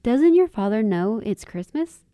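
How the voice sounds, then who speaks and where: Woman playing a wistful, mellow-voiced young woman. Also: fairly high pitch, big pitch swings, unhurried, dry recording, clean studio sound. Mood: encouraging. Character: wistful, kindly young woman raised an orphan. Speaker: actor in a radio drama. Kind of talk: radio drama dialogue.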